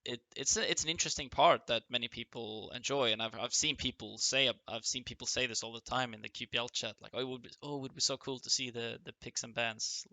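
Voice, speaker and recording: Male podcast host, sharp tense tone, slightly hoarse, dry room, chatty and halting. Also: mid-range voice, steady tone, dry recording. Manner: friendly, rambling, lots of restarts and fillers. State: losing his voice, clearing throat. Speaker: interview host. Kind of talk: casual interview over voice chat.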